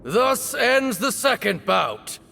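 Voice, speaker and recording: A solemn temple herald, high for a male voice and slightly gravelly, proclaiming slowly and formally. His delivery rises and falls grandly in a long, ringing hall with music behind. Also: sing-song, pushed and bright, exaggerated voice. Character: solemn ceremonial master of a sacred duel. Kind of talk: video game voice acting.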